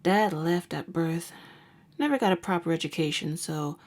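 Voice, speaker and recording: A woman playing a jaded, sardonic vampire hunter who works as a nurse, talking in a wry, slangy way in an ASMR roleplay. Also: on the low side. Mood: wry, confessional. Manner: wry, casual, slangy, unhurried. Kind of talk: ASMR roleplay audio drama.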